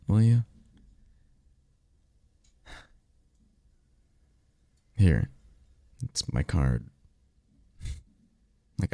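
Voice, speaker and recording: Man acting as a low, creaky-voiced, guarded vampire. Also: dark tone, no room sound, clean studio sound. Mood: reluctantly caring. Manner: halting, insistent, colloquial and sardonic. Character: guarded, secretive male vampire offering magical mentorship. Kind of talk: ASMR roleplay audio drama.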